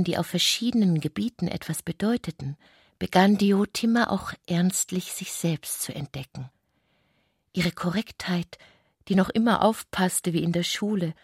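A woman narrating literary prose for a radio play in a breathy voice. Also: on the low side, darkish tone, no echo, studio quality.

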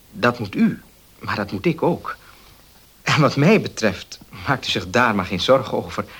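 An actor playing a sober young student who speaks in an earnest, reassuring way in a Dutch radio play. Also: male voice, fairly low pitch, fairly bright tone, husky. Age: young adult.

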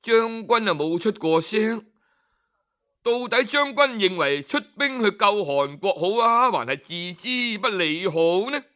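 A man's voice: sits high, animated, unhurried, a bit bright. A man with a Hong Kong Cantonese accent voicing an ancient king in a storybook tale, asking his general a question in a courteous, inquiring way.

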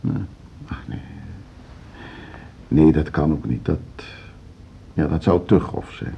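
An actor playing an earnest, indignant lover of classical music in a radio drama, halting yet emphatic. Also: male voice, rumbling low, creaky, slightly rough, heavily acted. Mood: suspicious. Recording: dull radio play recording.

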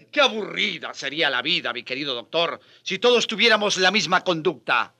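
A man's voice: a little high, sing-song, moderate pace, pushed and bright, heavily acted. A man playing a passionate, sardonic Spanish friar who argues with challenging questions in a radio drama. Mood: wry. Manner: impassioned, challenging, mocking rhetorical questions.

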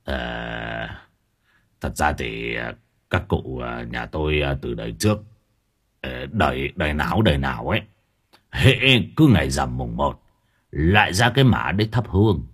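A man's voice: very deep voice, expressive, a little slow, husky, voice pushed down, heavily acted. A man voicing a crude, grumbling village husband who curses and stammers with hesitant fillers.

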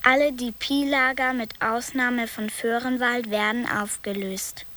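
A woman narrator reading chronicle entries in a slow, deliberate way. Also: fairly high pitch, fairly even intonation, pushed and bright, slight background noise.

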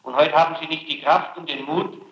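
A man's voice: fairly high pitch, steady tone, fairly quick, a bit bright. A man interrogating a suspect, admonishing and persistent in the way he addresses the person questioned. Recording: muffled echoey archival interrogation tape.

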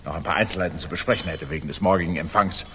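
Low, stiff, brisk Nazi functionary. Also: male voice, fairly bright tone. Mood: stiffly formal. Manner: formal, clipped, humourless. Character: stiff, cold, menacing Nazi propaganda official. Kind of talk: 1960s German radio drama acting. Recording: reverberant, hissy 1960s mono radio play.

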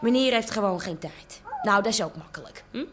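A woman's voice: medium pitch, lively intonation, brisk, slight background noise, lightly acted. An actress playing a fed-up, sarcastic young working-class mother in a radio drama. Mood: angry, scornful. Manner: nagging, sarcastic.

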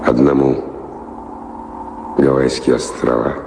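An actor playing a weary, dreamy wandering adventurer in a radio drama, musing and halting in a poetic way. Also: male voice, a bass voice, little pitch movement, creaky, heavily acted. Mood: shaken, wistful. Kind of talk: theatrical radio drama acting. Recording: roomy reverberant, noisy old radio play recording.

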